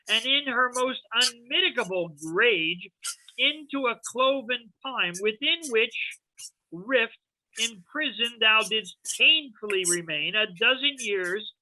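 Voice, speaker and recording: A woman's voice: fairly high pitch, pushed and bright, full character voice, rough recording. A woman playing a commanding, imperious sorcerer in a Shakespeare reading, declaiming theatrically to a servant spirit. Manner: slow, stern, theatrical declamation.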